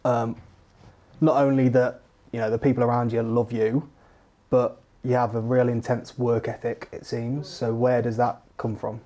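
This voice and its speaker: A British woman with an extremely low, mellow voice hosts a casual interview. She puts her questions in a conversational, chatty style. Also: little pitch movement, moderate pace. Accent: British English. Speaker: interviewer, host.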